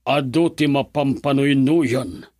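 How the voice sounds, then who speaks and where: A man's voice: mid-range voice, slow, darkish tone, soft background music. An actor with a northern Philippine accent playing a strict, fretful middle-aged father in a radio drama, grumbling and exclamatory. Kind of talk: Ilocano radio drama acting.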